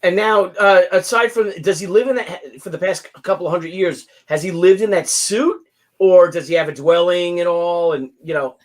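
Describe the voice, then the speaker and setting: A man's voice: high-pitched, normal speed. A man co-hosting a casual interview, putting rambling questions that circle before they land. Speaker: show co-host.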